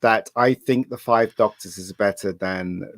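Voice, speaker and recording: A man's voice: on the low side, a little slow, fairly bright tone, dry recording. A man chatting in a casual, jokey way as a podcast guest.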